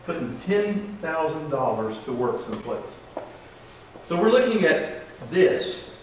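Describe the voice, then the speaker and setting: A man's voice: fairly high pitch, sing-song, measured pace, darkish tone. A middle-aged man, a financial advisor, giving a folksy classroom presentation and asking the audience questions. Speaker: financial advisor teaching a seminar. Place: large echoey room. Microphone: room mic from a distance. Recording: echoey room, distant mic, faint hiss.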